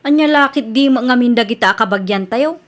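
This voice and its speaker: A woman's voice: on the high side, a little fast, natural voice. Woman acting a practical Ilocano cousin. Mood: disapproving. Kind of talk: radio drama acting.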